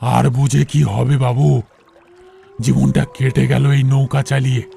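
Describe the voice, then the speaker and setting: Guy doing a creepy old ghost boatman for a horror story, super gravelly, dark tone, humble village talk. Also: mid-range voice, level tone, measured pace, faint music behind. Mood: humble, cryptic. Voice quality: creaky, gravelly. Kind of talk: audio horror story acting.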